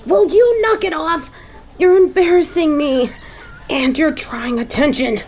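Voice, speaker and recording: A woman's voice: high voice, a little slow, a little mellow. A woman playing a prim, uptight, easily flustered angel little sister in an audio drama, speaking hesitantly. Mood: flustered, annoyed. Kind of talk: audio roleplay drama acting.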